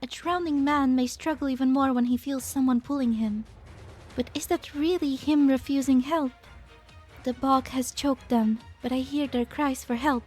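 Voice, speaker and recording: A woman voicing a compassionate, idealistic scientist who is gentle yet unyielding, in an earnest amateur fan dub. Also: high voice, fairly even intonation, normal speed, soft background music. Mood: impassioned.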